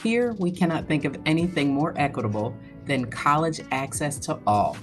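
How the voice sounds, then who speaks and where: Low-voiced woman, proud school head. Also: expressive, normal speed. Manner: proud, upbeat, promotional. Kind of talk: scripted video message. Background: background music.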